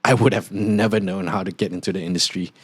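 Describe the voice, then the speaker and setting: A young man from Singapore with a softened Singaporean accent, hosting a casual podcast monologue and rambling with many "like" fillers. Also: deep voice, fairly even intonation, fast, slightly rough, no room sound. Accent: Singaporean, partly neutralized toward Australian. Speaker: podcast host, international student.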